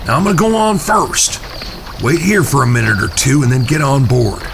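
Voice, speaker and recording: A man with a gravelly voice playing a brisk, formally polite outlaw leader in a western audio drama. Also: mid-range voice, sing-song, background noise. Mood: commanding. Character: male outlaw leader posing as courteous visiting industrialist. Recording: music behind.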